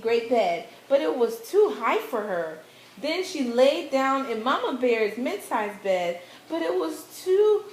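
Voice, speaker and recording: Slow, high-voiced woman telling a fairy tale. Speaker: storyteller in a puppet show. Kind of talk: children's fairy-tale narration. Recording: echoey room, faint background noise.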